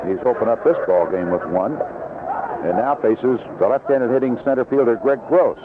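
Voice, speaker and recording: A man's voice: mid-range voice, normal speed. A North American man calling baseball play-by-play as a live radio announcer. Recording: tinny, noisy vintage AM radio broadcast.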